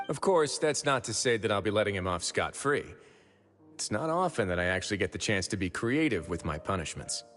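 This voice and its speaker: An actor playing a composed, dryly menacing prison warden duke in a fantasy game, calm and wry. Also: male voice, lowish voice. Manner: composed, wry, quietly threatening. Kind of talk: game character voice acting. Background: game music.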